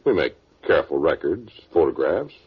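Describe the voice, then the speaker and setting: Very deep, husky vintage radio archaeologist. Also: male voice, sing-song, heavily acted. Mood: patient, lecturing. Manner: scholarly, measured, dry. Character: learned, world-weary male archaeologist telling his eerie story. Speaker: actor and first-person narrator. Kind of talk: old-time radio drama acting. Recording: muffled vintage radio recording.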